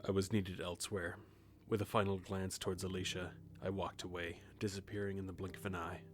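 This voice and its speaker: Male actor as ageless grim reaper narrator: very low voice, restrained and grave, audio drama over clear music. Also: flat delivery, fairly quick, lightly acted. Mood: detached. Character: grim reaper narrator, centuries old, detached, puzzled. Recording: music behind the voice. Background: music with guitar.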